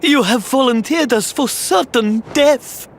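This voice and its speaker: A man's voice: very high voice, a little slow, slight background noise. An actor playing a fretful, deferential royal retainer in a video game, pleading as he speaks. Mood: fearful protest. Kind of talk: video game cutscene voice acting.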